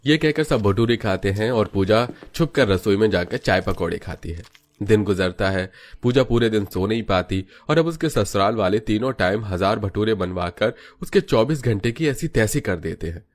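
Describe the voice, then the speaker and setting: A man narrating a scripted cartoon story as its storyteller. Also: fairly low pitch.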